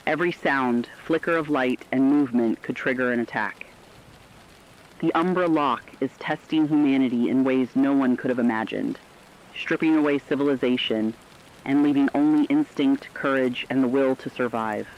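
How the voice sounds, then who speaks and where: A man's voice: medium pitch, muffled recording, a little hiss or hum. A man narrating a scripted emergency radio broadcast as a newscaster, with grave, solemn delivery. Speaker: radio newscaster in a horror story.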